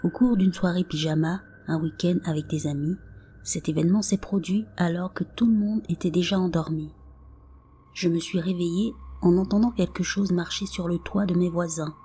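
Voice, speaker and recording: A woman reading scripted paranormal stories as a narrator, in a soft, half-whispered delivery. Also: lowish voice, steady tone, moderate pace, slight background noise. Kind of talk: scripted narration read aloud. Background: faint background music.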